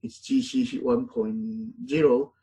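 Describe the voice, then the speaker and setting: Husky, halting male lecturer. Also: mid-range voice, fairly even intonation, warm tone, low-quality recording. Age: middle-aged. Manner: halting, many fillers and self-corrections. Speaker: university lecturer. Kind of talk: classroom lecture.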